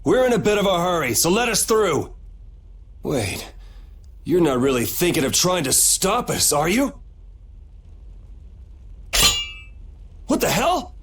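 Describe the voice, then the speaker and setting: A brash, high-pitched young swordsman. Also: big pitch swings, normal speed, slight background noise. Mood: defiant. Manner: casual, sardonic, assertive. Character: brash young swordsman hero, sardonic and bold. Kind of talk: video game voice acting.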